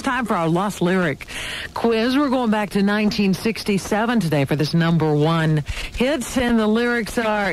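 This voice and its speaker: Female radio DJ, medium-pitched quiz and song patter, faintly noisy 1980s aircheck.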